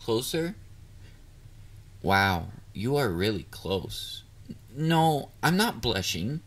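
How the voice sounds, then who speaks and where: A man's voice: mid-range voice, very animated, extremely slow, fairly bright tone, exaggerated voice. A man playing a bubbly, bashful catboy boyfriend in an intimate roleplay audio drama, dorky and easily flustered. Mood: cheerful, affectionate, then flustered, pouting. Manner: playful, dorky, flustered, hesitant. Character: bubbly, bashful young male catboy partner.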